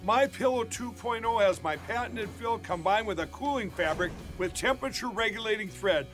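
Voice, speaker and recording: An upbeat male pitchman with a high voice delivers a scripted ad read. Music plays softly behind him. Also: expressive, moderate pace. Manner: upbeat salesman.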